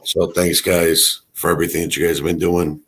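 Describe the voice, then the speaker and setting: A middle-aged man with a raspy, hoarse voice from allergies, chatting casually in a rambling way with many fillers. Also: low voice, level tone, a little fast, fairly bright tone. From Chicago area. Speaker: co-host. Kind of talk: casual livestream chat.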